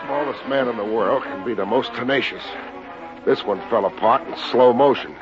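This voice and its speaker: An actor playing a wisecracking, unflappable private investigator in an American radio drama, dry and sardonic, with an American accent. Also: male voice, mid-range voice, normal speed, lightly acted. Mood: wry narration. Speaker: lead actor narrating and playing a detective. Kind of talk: 1950s American radio detective acting. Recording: dull old radio recording with music.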